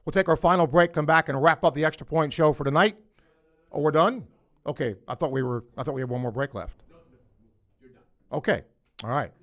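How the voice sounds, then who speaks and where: A man's voice: a little high, fairly bright tone. A man hosting a late-night call-in sports radio show, talking loosely with fillers and restarts. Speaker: radio sports talk host.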